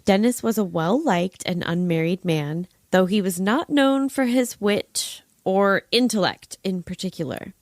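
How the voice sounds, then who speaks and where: A woman's voice: medium pitch, measured pace, few pauses, no echo, clean studio sound. A woman hosting a podcast, telling a scripted story with wry, playful flourishes and casual chatty asides. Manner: wry, playful narration with old-fashioned flourishes. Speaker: true-crime podcast host.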